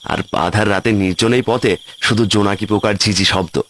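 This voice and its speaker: A man playing an excitable city office worker on a village trip in a cartoon ghost story. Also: on the low side, normal speed, slight background noise, lightly acted. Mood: cheerful. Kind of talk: cartoon horror story voice acting.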